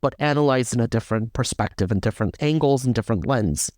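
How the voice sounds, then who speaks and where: A man's voice: mid-range voice, animated, quick pace, a little mellow, no echo, studio quality. Man co-hosting a casual academic podcast. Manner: thinks aloud with fillers and restarts, often adds "right?". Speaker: podcast co-host.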